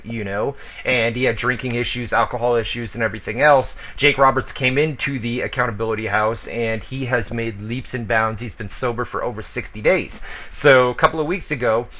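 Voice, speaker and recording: A man's voice: mid-range voice, sharp tone, dull audio. A man hosting a casual radio show, talking loosely with profanity and stumbling restarts. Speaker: wrestling radio show host.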